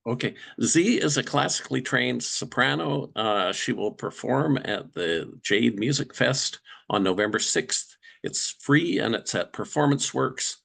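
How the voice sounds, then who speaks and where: A man interviewing a guest, asking long, polite questions at a measured pace. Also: mid-range voice, animated, gravelly, fairly bright tone.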